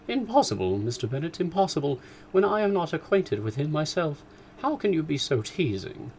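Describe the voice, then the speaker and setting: A man doing a shrill, fretful mother. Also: very animated, normal speed, gravelly, a little mellow, slight background noise. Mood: indignant. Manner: emphatic, complaining. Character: fretful, excitable middle-aged mother, shrill and complaining.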